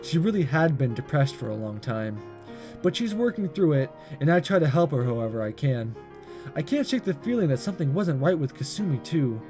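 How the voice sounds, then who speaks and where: Animated higher-voiced man reading aloud. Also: brisk, music in the background. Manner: stumbles and rereads lines. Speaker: gaming video host reading a visual novel aloud. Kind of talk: expressive amateur read-aloud narration.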